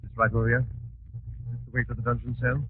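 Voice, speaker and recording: An actor playing a calm, resolute gentleman sleuth with a brisk, assured delivery in a 1940s radio drama. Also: medium pitch, natural voice. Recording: muffled narrow-band 1940s radio recording with music.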